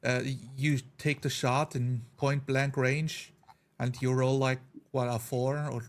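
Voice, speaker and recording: A man's voice: medium pitch, little pitch movement, a little slow. A man chatting casually as a tabletop roleplayer, often repeating and rephrasing his words for emphasis. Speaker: tabletop roleplayer on a gaming show. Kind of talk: casual chat.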